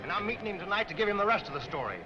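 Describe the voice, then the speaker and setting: A plain-spoken, defiant workman in a vintage serial. He speaks fast in a fairly high voice, with music behind. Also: very animated, poor audio. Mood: defiant, angry. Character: defiant, plain-spoken male tunnel worker. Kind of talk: 1940s film serial acting.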